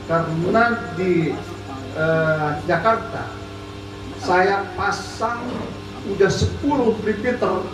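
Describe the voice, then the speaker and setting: A man's voice: high-pitched, slow pace, long pauses. A man from West Java giving an informal, chatty speech to a community radio gathering as an army district officer, peppering his talk with fillers. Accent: Indonesian, not typically Sundanese. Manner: chatty, frequent "ya kan" and "nah" fillers. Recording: echoey room, distant mic, music behind.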